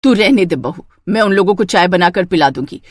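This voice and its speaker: A scolding older village mother-in-law played by a woman storyteller speaks in sharp, reproachful phrases. Her pitch swings about with exasperation, and the sound is dry and close. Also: lively intonation, brisk, dry recording. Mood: sarcastic.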